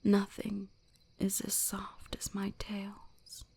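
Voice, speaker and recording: A woman voicing an ancient, possessive, gently dominant kitsune shrine spirit in intimate ASMR roleplay, breathy and often whispering in a soothing, commanding way. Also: mid-range voice, monotone, slow pace, full character voice. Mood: tender, coaxing.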